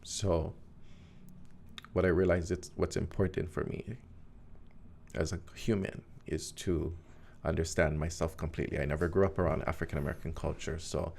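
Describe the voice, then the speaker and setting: A man, talking reflectively as an interview guest, pausing often with fillers and laughing easily. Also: a bass voice, little pitch movement, creaky, darkish tone, slight background noise. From Hawaii. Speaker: guest musician. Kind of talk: casual interview conversation.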